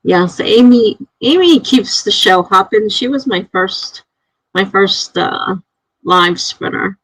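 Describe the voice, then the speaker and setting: A woman's voice: mid-range voice, lively intonation, takes it slowly, a bit bright, no echo, rough recording. A woman hosting a live stream, reading viewer comments aloud in a chatty way and laughing often.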